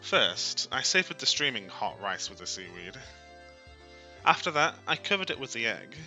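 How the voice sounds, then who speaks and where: A man reading a visual novel aloud on a stream as dramatic, expressive narration, in a theatrical storytelling way. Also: medium pitch, normal speed, faint music behind.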